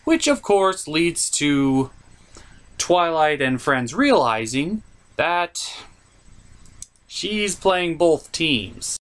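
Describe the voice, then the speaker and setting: A man's voice: on the high side, big pitch swings, very slow, a bit bright. A man hosting a comedic cartoon review, talking through the episode as commentary.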